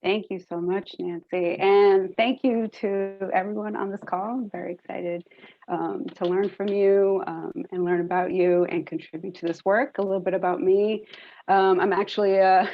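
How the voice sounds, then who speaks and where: A woman's voice: medium pitch, fairly even intonation, normal speed, no echo. A middle-aged American woman introducing herself informally as a task force member on a video call with muffled audio. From Rhode Island, United States. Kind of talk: informal self-introduction in a meeting.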